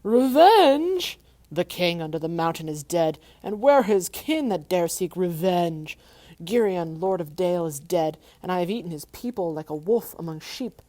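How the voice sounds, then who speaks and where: A woman voicing a huge, wily old male dragon who gloats and mocks in a booming voice. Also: animated, moderate pace, mellow, lightly acted. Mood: gloating.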